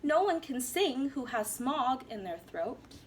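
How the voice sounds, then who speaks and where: A woman's voice: high-pitched, big pitch swings, a little slow, slight background noise. A woman voicing a grumpy small male forest creature, snapping and scolding.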